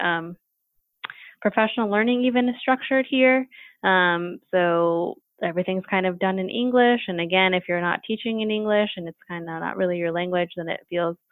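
A woman's voice: on the low side, dry recording. A woman speaking as a guest expert in a podcast conversation, explaining at length and often checking in with "right?". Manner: thoughtful, explanatory, many "right?" tags. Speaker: guest expert on teacher preparation. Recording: thin phone-like line, dry.

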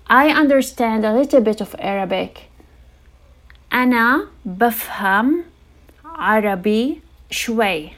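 Casual woman teaching phrases slowly. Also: fairly high pitch, fairly bright tone. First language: English. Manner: slow repeat-after-me phrase drilling. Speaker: language teacher, podcast host. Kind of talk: casual spoken language lesson.

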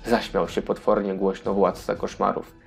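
A man's voice: low-pitched, level tone, moderate pace, gravelly, soft background music. A man narrating a children's audio fairy tale in a scripted storybook style.

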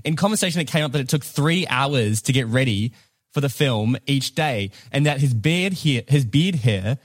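A man's voice: medium pitch, no room sound. A man co-hosting a podcast, chatting casually from a home studio. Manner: relaxed, chatty, quick asides. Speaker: podcast co-host. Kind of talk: casual chat. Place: home studio, ground floor.

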